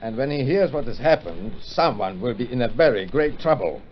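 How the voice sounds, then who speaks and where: A proud male opera singer played by an actor in an old radio play. He speaks with a wide, theatrical swing in pitch on a muffled, slightly noisy recording. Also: lively intonation, normal speed, lightly acted. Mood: indignant. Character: indignant, proud male Russian opera singer, loyal companion. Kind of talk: old radio drama acting.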